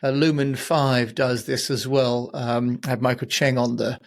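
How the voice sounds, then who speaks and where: Medium-pitched man hosting a podcast. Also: little pitch movement, a little slow. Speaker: podcast host. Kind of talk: conversational interviewing.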